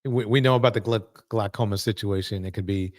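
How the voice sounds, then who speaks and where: A man hosting a live panel, moving the talk along and haltingly reading a film introduction. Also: fairly low pitch. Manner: halting, many fillers when reading. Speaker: host.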